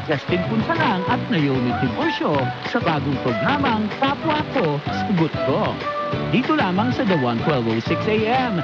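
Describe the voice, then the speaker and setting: A woman with a Filipino accent reading scripted radio promos as a station announcer. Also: medium pitch. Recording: dull AM radio reception, loud music. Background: loud music.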